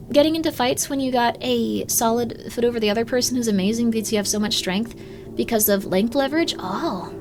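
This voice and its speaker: A young woman chatting on a live stream, her slightly high voice jumping up and down as she talks. She rambles through viewer comments with loads of "like" fillers and an easygoing pace. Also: a little hiss or hum. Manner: rambling, lots of "like" fillers, laughs often, swings up and down in pitch.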